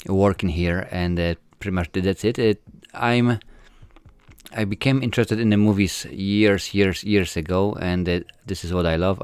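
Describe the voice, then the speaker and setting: Polish filmmaker, 40s, low and smooth, halting answers full of fillers. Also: male voice, no echo. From Poland. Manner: hesitant, many fillers and restarts. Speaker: filmmaker guest. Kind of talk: casual interview answers.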